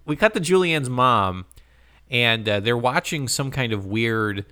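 A man's voice: medium pitch, expressive, unhurried, bright, forceful tone, smooth. A man co-hosting a casual podcast, recapping a show in a playful, joking way. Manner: joking, playful, laughs often. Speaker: podcast co-host.

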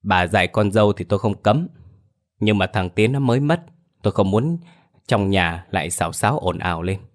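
A man's voice: fairly low pitch, expressive, moderate pace. A man voicing an elderly father who is stern and reproachful yet tender toward his widowed daughter-in-law. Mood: weary.

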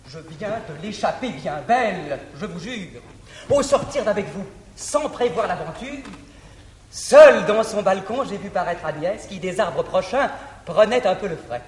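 A giddy young lover. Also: male voice, high voice, expressive, a little slow, a little hiss or hum. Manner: breathless, laughing storytelling. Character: eager young male lover, giddy and boastful. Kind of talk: declaimed verse stage acting. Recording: echoey 1959 theatre recording.

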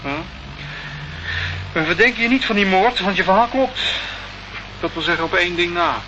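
A man's voice: sits high, moderate pace, pushed and bright. An actor playing a guarded male police sergeant in a Dutch radio play, dry, grudging and cautioning. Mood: dry, reproving. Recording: noisy, muffled old radio recording.

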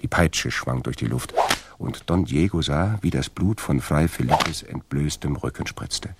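A man with a gravelly voice narrating a scripted story as part of a radio drama. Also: very deep voice, unhurried, very noisy. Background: plucked guitar music.

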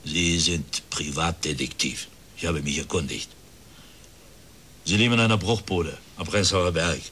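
A man's voice: sits low, unhurried, bright, forceful tone, a little hiss or hum. A man playing a suspicious, hostile character in a radio crime drama, speaking curtly and interrogatingly. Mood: cold, hostile. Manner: curt, interrogating. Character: suspicious, hostile man confronting a private detective.

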